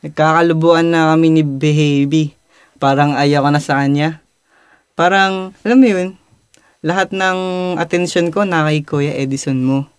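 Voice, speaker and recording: A man's voice: fairly high pitch, fairly even intonation, normal speed, pauses often, smooth, dry recording, studio quality, close to an everyday voice. A young man acting an earnest, conflicted schoolboy who narrates his own story in an amateur radio drama, with a Filipino accent. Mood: troubled. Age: young adult.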